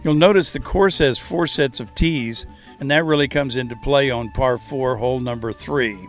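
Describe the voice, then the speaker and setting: A man's voice: mid-range voice, normal speed. Male tour narrator and host, mid-range voice, scripted read over a music bed. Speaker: host of a golf course review.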